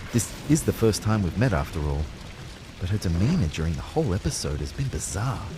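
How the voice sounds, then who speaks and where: An actor playing a bewildered male ghost, narrating his afterlife in a brooding, measured way for a scripted audio drama. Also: fairly low pitch, background noise.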